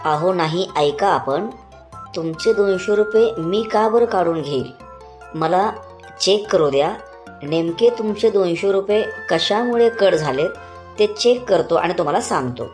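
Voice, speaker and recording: A woman's voice: fairly low pitch, expressive, a little slow, a little mellow, soft background music. A woman voicing a patient male customer care agent in a comic cartoon, formal and courteous as she explains things. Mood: polite, helpful. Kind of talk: comic cartoon phone-call sketch.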